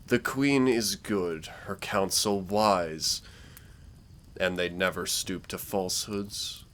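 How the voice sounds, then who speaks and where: A man performs a villainous warlord with a very low, unhurried voice and a creaky edge. He is menacing yet persuasive, with theatrical, condescending delivery suited to a fantasy roleplay drama. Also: pushed and bright, a little hiss or hum, exaggerated voice. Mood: sardonic, threatening. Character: menacing, smooth-talking male dark warlord villain invading dreams. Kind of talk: scripted roleplay audio drama, villain monologue.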